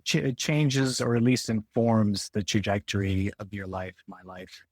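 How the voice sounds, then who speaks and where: An American man in his forties speaks with a fairly low voice in a dry space. He talks as a podcast guest, reflective and halting, often rewording a thought midway through. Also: little pitch movement, slightly rough, no room sound. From Detroit area, Michigan, United States. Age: 40s. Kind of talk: casual interview conversation.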